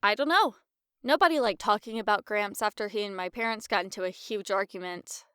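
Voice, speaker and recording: A woman's voice: medium pitch, brisk, fairly bright tone, smooth, no echo, studio quality, close to an everyday voice. A woman voicing a young female adventurer in a fantasy audio drama, with a casual, blunt, sarcastic manner. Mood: confiding.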